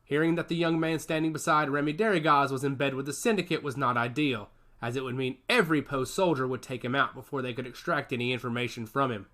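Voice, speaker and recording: A man narrating a story with expressive, scripted delivery. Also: mid-range voice, fast, hardly any pauses.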